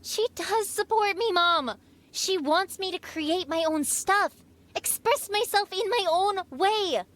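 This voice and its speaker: A woman's voice: very high-pitched, slow, a bit bright, heavily acted. A woman playing an assertive, exasperated, strong-willed teenage artist in an audio drama. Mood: exasperated, defiant. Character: strong-willed seventeen-year-old artist girl and her pushy mother.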